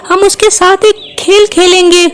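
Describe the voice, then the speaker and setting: A woman's voice: very high-pitched, flat delivery, measured pace. A woman voicing a brave, clever, kind young female bird in a children's cartoon, speaking in a reassuring, decisive way. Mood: scheming, composed. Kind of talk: Hindi children's cartoon voice acting.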